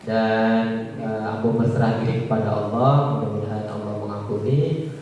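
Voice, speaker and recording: A man's voice: lowish voice, flat delivery, normal speed, darkish tone, highs cut off, a little hiss or hum. A man with a Tegal Javanese accent preaching to a congregation in a conversational way, posing rhetorical questions and answering them himself. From Tegal, Central Java, Indonesia. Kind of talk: religious talk to a congregation. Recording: echoey hall, far from the mic.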